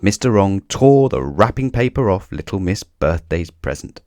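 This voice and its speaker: A man reading a children's story aloud in an expressive narrating style. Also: deep voice, normal speed, dry recording.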